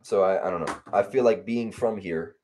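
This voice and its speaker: A young man from Los Angeles in casual chat on a video call, relaxed and rambling with lots of fillers. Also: low-pitched, moderate pace, a little hiss or hum. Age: young adult. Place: home room on video call. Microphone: headset on video call.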